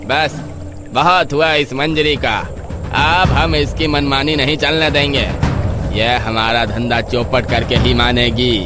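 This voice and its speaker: A man voicing a gruff, greedy, resentful river boatman in a horror story. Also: mid-range voice, steady tone, slow, music in the background, lightly acted. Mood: angry.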